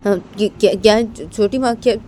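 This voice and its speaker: A woman's voice: mid-range voice, moderate pace, mellow, a little hiss or hum, natural voice, poor audio. A woman voicing an anxious young daughter-in-law in a story, stammering and repeating excuses. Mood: anxious, defensive. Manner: stammering, repetitive, self-excusing.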